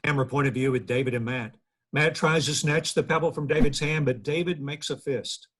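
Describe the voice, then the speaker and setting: A man's voice: fairly high pitch, steady tone, a little fast, a bit bright, breathy edge, low-quality recording. A man in his thirties narrating stage directions at a table read, from home over a video call. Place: living room, video call.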